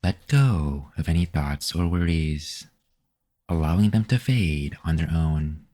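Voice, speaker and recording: A man leading a guided meditation in a calm, measured, soothing manner. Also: rumbling low. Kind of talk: slow guided meditation narration.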